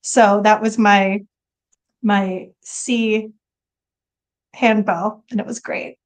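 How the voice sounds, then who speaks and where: A woman's voice: mid-range voice, steady tone, very slow, low-quality recording. A woman actor chatting casually in a giggly, talkative way on a video call. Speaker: stage actor.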